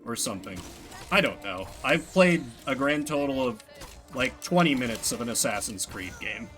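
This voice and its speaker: A man's voice: mid-range voice, animated, normal speed, a bit bright, soft background music. A man chatting casually as he streams a game, rambling through opinionated, self-deprecating asides. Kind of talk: casual chat while playing a game. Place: indoors at a desk.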